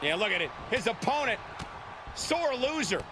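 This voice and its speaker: Excitable opinionated wrestling announcer, high voice, loud crowd noise all behind him. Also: male voice, moderate pace. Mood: argumentative. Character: excitable, snide male pro wrestling TV commentator. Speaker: wrestling commentator. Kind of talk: sports commentary in a video game. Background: roaring crowd and ring noise.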